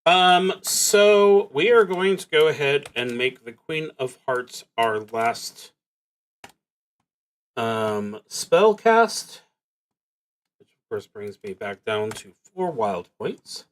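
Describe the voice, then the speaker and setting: A man casually narrating his solo tabletop roleplaying game, haltingly thinking aloud and muttering to himself as he goes. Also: fairly high pitch, sing-song.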